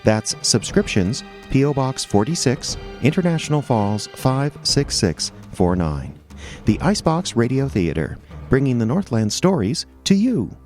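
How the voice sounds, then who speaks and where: An actor playing a chipper old-time radio announcer, delivering sponsor pitches in an emphatic, mock-earnest sales style. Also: male voice, big pitch swings, unhurried, darkish tone, faint music behind. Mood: upbeat, selling.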